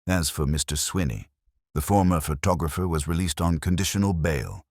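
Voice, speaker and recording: A man narrating a scripted mystery story in a measured, literary storytelling manner. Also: sits low, a little mellow, dry recording, clean studio sound.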